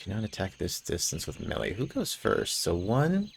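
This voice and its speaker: A man's voice: lowish voice, wildly swooping pitch, normal speed, gravelly, a little hiss or hum. A man hosting a gameplay video, casually commenting and reading game text aloud in an informal way. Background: faint game music.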